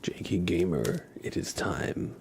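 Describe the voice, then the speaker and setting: A man hosting an ASMR livestream in a soft, hushed voice that often nears a whisper, chatting and reading in a laid-back, gently joking way with halting pauses. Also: sits low, lively intonation, vocal fry, background noise. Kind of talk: ASMR, casual chat and tarot reading for viewers.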